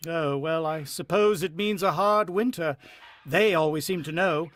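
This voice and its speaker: An actor playing a brooding, uneasy husband and father in a vintage-style radio drama, musing with dramatic pauses. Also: a little high, a bit bright.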